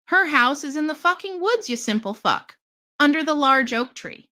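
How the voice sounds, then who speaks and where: A woman's voice: high-pitched, expressive, normal speed, bright, forceful tone. A woman voicing a bratty, swearing little girl in a mocking fairy tale reading. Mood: snippy. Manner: snippy, swearing. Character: rude bratty little girl in red cap.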